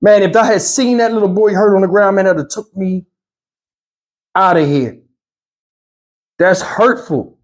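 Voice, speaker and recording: A man's voice: high voice, measured pace, pauses often. A man giving off-the-cuff commentary to his viewers in an emotional, exasperated, pleading manner. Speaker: YouTube news commentator.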